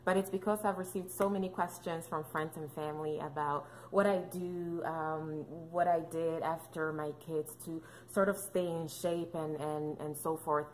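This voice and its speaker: A woman's voice: low voice, steady tone, moderate pace, few pauses, a little hiss or hum, low-quality recording. A woman, chatting casually to camera as a vlogger, playful and joking with lots of fillers. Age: 30s. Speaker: fitness and mom vlogger. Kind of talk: casual chatty vlog talk.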